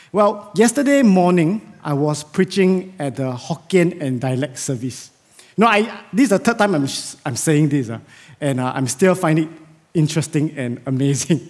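A man in his thirties with a Singaporean English accent, whose first language is Chinese, preaching a lively sermon on a large church stage into a headset mic. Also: high voice. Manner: animated, gesturing, chatty with audience asides. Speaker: pastor.

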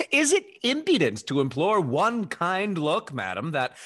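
A man's voice: fairly high pitch, huge pitch swings, measured pace, sharp tone, heavily acted. A man as a swooping, suave rake. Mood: teasing, wooing. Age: young adult.

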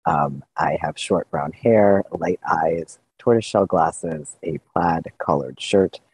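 A man's voice: low-pitched, slow pace, slightly rough. A young adult man, a PhD candidate, introducing himself informally in a research presentation. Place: video call indoors.

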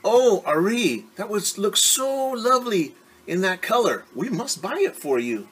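A man's voice: high voice, sing-song, a little slow, a bit bright, slightly rough, voice pushed up, exaggerated voice. A man voicing a doting, gushing parent in a storybook, coaxing a young daughter.

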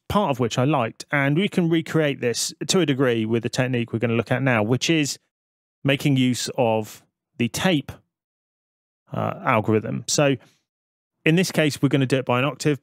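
A man's voice: medium pitch, dry recording, studio quality. A man giving an explanatory music production tutorial, thinking aloud as he talks through the steps. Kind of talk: screen-recorded software tutorial narration.

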